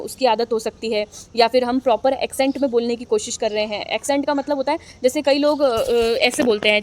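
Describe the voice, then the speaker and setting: A young Indian woman teaching an exam-prep lesson, chatty and encouraging, who reads lines slowly and then explains them. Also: fairly high pitch.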